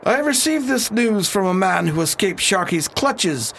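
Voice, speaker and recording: A man's voice: sits high, big pitch swings, normal speed, gravelly, a bit bright, slight background noise, exaggerated voice. A man playing a worldly, wryly amused colonial governor in a radio drama, with formal, theatrical old-fashioned speech. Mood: genial.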